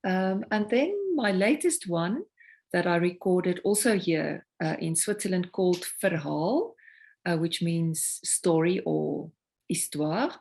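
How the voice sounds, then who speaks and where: A woman from South Africa whose first language is Afrikaans, speaking English with a South African accent. She is a singer-songwriter guest talking about her work in a reflective, unhurried way, often pausing to search for words. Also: fairly low pitch, lively intonation, creaky, darkish tone. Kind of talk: casual interview conversation.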